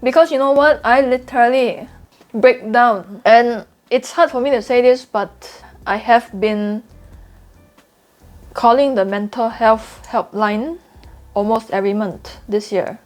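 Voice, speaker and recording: A woman's voice: a little high, slow pace. A young woman with a Singaporean accent talking candidly to camera in a vlog, earnest and confiding, repeating points for emphasis. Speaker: trading YouTuber.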